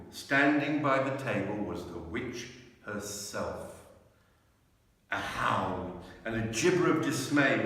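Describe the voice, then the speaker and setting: In a large, very reverberant church, a man narrates a storybook from some distance off the microphone. He reads slowly and dramatically, with deliberate phrasing at a medium pitch. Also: a bit bright. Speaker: clergyman reading a children's story aloud. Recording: very echoey church, far from mic.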